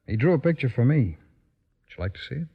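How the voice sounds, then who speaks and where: A man acting in an old-time radio drama as a patient, fatherly lawman, gentle and persuasive. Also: medium pitch, sing-song, a little fast, vocal fry, husky, heavily acted. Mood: gentle persuasion. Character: patient fatherly lawman counselling a troubled youth. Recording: muffled old radio recording.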